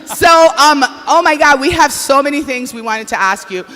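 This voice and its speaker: A woman's voice: sits high, lively intonation, normal speed, sharp tone. A woman with a Spanish-influenced English accent, hosting an awards show in a bubbly, chatty way. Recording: long hall reverb with faint noise.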